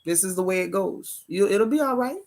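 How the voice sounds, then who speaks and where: A woman hosting a casual live-stream chat and giving motherly advice, slowing down to stress her points. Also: mid-range voice, lively intonation, vocal fry, darkish tone. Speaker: host.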